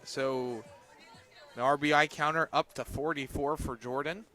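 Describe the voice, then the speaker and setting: A higher-voiced male sportscaster calls a softball game live, with clear music behind him. His delivery is clipped and terse between pitches and lifts when the play matters. The setting sounds like an outdoor ballpark broadcast. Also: steady tone, measured pace, low-quality recording. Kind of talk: live softball radio commentary.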